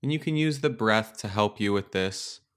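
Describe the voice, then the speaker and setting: A man leading a guided meditation as a teacher, speaking in a calm, gentle, unhurried way with pauses. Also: fairly low pitch, smooth. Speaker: meditation teacher and podcast host. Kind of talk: guided meditation, slow and instructional.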